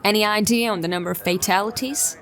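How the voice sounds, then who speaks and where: A woman's voice: medium pitch, quick pace, a bit bright, natural voice. Concerned female detective actress, slightly distant. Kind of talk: audio drama acting.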